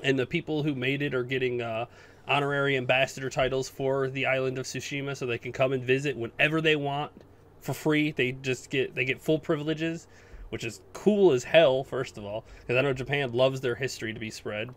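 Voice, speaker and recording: A man's voice: medium pitch, steady tone, a little fast, a bit bright. Enthusiastic, sweary male gaming podcast co-host. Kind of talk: casual chat about video games.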